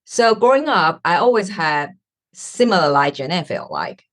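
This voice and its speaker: A woman's voice: on the low side, big pitch swings, normal speed. Woman hosting a podcast. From Taiwan. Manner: searching for words, with many fillers. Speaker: podcast host. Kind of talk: interview podcast.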